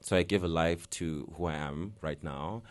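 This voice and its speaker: A man's voice: a bass voice, normal speed. A young man from Zimbabwe, a guest artist talking casually in an interview, rambling with lots of fillers and laughing often.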